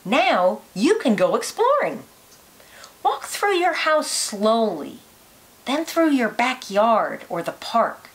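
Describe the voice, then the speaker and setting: Slow, lilting woman reading to children. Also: fairly high pitch, sing-song. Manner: careful, deliberate phrasing for young listeners. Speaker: teacher reading a children's science picture book aloud. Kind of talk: read-aloud of a children's educational book.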